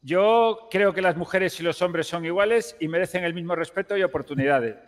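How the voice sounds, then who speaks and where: A man playing a boastful, flattering television wheeler-dealer in an improvised online game roleplay, smooth-talking and theatrical. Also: high-pitched, brisk, in a large hall, natural voice. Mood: earnest, wooing.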